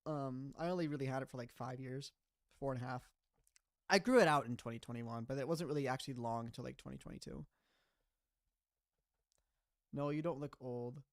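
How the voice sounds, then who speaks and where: A man's voice: medium pitch, a little fast, pauses often, dry recording, studio quality. A man in his mid-twenties, a streamer chatting casually and rambling with frequent laughs while reading his chat. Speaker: streamer and animator.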